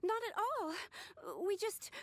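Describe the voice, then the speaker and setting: A woman voicing an excitable, deferential young office clerk in an anime video game, flustered and pleading. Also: extremely high pitch, takes it slowly, exaggerated voice.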